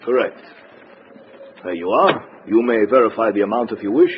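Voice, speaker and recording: A suave secret courier played by a male actor, low-pitched, with a lively rise and fall. He is formal and self-assured in an old radio drama with clear hiss. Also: moderate pace, lightly acted. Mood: courteous. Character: suave, cautious foreign male communist spy courier. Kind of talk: 1950s radio drama acting. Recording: muffled noisy old radio recording.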